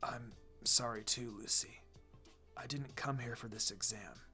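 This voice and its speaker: A man's voice: mid-range voice, measured pace, bright, forceful tone. A man voicing a brooding, menacing teenage ninja boy in a subdued, terse way for a fan fiction reading. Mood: secretive, regretful. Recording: music behind the voice.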